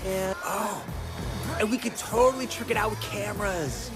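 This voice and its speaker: An actress playing an eager, cheeky racing crew mechanic in a video game, with a playful, slangy, excitable manner. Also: female voice, mid-range voice, moderate pace, loud music behind the voice, lightly acted. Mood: excited, scheming. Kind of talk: scripted video game cutscene acting.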